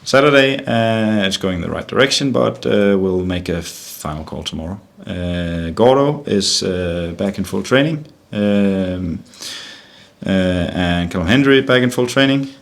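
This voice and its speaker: A middle-aged Danish man with a low, deep voice speaks English with a Danish accent at a press conference. He talks haltingly and often repeats words before finding his phrasing. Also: lively intonation, gravelly. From Denmark. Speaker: football manager.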